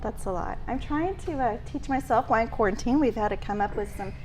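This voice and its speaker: A woman's voice: on the high side, animated, fast, faint music behind. A woman co-hosting a casual wine trivia chat, playful and chatty. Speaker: co-host.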